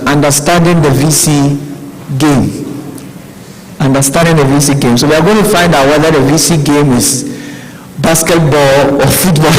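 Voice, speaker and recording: A man's voice: on the high side, low-quality recording. A man emceeing a conference, introducing the next speaker in a lighthearted, playful way. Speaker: event emcee.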